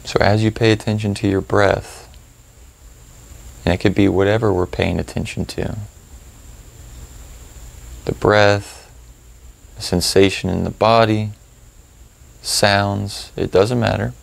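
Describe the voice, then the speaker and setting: Calm guy teaching meditation, really deep gravelly voice, takes his time w long pauses. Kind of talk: guided meditation instruction.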